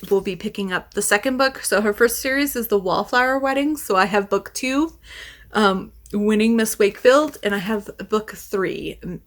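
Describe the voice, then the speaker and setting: A woman's voice: on the high side, a bit bright. A woman talking as a book vlogger in a casual, chatty way, meandering and interrupting herself as she goes. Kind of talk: casual chat to camera, rambling.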